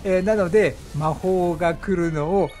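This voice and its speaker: A man giving chatty, animated gameplay commentary as a gaming streamer, explaining things as he plays and exclaiming at the action. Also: sits high, normal speed. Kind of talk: excited game commentary and explanation.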